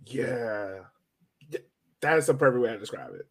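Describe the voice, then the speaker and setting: A man's voice: medium pitch, lively intonation, a little slow, a bit bright, breathy edge. A man hosting a sports podcast in a casual, chatty way, often rambling, hedging and repeating himself as he goes.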